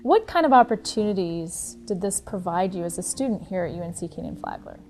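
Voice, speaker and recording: Woman interviewer, reads her questions, measured and formal, light music behind. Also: fairly low pitch, lively intonation, a little mellow. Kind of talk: reading prepared questions.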